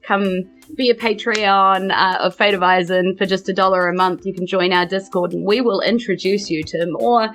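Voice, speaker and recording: A woman's voice: mid-range voice, fairly even intonation, normal speed, few pauses, a bit bright, soft background music. A woman, casually hosting a tabletop game podcast as game master. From New Zealand.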